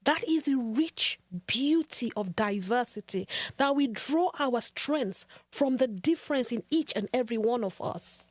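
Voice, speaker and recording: A woman hosting a podcast, giving an earnest, measured and rhetorical monologue. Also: a little high, lively intonation, no echo. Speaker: podcast host.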